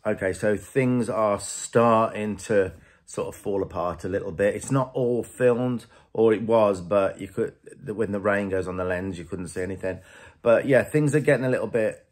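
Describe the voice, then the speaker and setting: A man's voice: lowish voice, moderate pace, hardly any pauses, no echo, studio quality. A man working with a horse outdoors, explaining each step as he goes. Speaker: horse trainer. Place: outdoors in a paddock.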